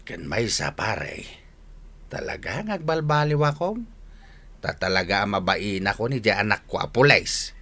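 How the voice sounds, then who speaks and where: A man's voice: lowish voice, big pitch swings, measured pace, bright, forceful tone, full character voice. A man acting in a radio drama as a repentant, earnest older father who likes his drink, with an Ilocano accent.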